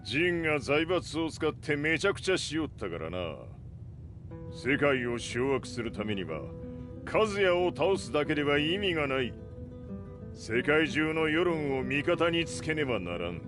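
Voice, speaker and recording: A man voicing a ruthless, proud old warlord in a video game, with gruff, imperious, old-fashioned elder speech. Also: on the high side, very animated, fast, music in the background. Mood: scheming, assured. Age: older. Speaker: game character voice actor. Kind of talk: dramatic Japanese game cutscene acting.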